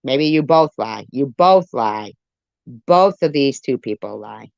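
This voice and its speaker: A woman's voice: extremely low pitch, animated, slow, vocal fry, darkish tone, no echo, muffled recording. A woman giving blunt, repetitive, sweary off-the-cuff commentary on a live stream. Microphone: phone on a live stream.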